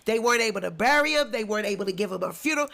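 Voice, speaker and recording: A woman's voice: medium pitch, expressive, racing, gravelly, a bit bright. A congested woman with the flu giving indignant, emphatic celebrity commentary in a vlog. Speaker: commentary vlogger.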